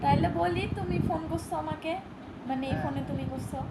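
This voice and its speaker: A young woman talks in casual phone-chat style. The recording is echoey, with loud noise all around her. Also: high voice, steady tone, fast talker. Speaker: prank vlogger. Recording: very noisy, echoey room recording.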